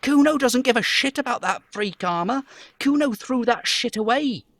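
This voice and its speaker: Aggressive young street boy, high strained voice, foul-mouthed, scornful, video game role. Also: lively intonation, normal speed, creaky, a bit bright, dry recording, full character voice. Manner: swearing, sneering, refers to himself in third person.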